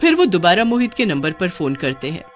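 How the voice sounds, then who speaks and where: A woman's voice: on the low side, very animated, brisk, faint music behind. A woman storyteller giving dramatic scripted narration of a cartoon horror story.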